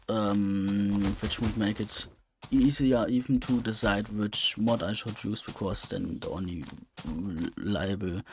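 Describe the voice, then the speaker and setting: A man's voice: on the low side, a little hiss or hum. A man giving casual, unscripted gameplay commentary, hesitant and rambling as he thinks aloud. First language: German. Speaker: let's play host.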